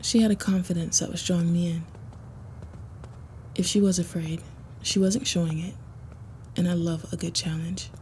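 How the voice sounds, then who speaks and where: A woman voicing a confident, flirty vampire loner in a first-person roleplay audio, measured and self-assured. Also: fairly low pitch, fairly even intonation, darkish tone, a little hiss or hum, lightly acted. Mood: intrigued.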